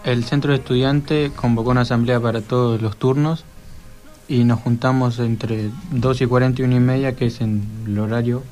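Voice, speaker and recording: Teenage male student guest, medium pitch, informal answers over music. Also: steady tone, normal speed, darkish tone. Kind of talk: casual radio interview answers.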